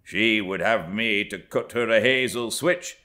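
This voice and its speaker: A man's voice: on the low side, unhurried, pushed and bright, pitched above the performer's own voice. A man voicing a plain-spoken country labourer with rustic dialect. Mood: matter-of-fact.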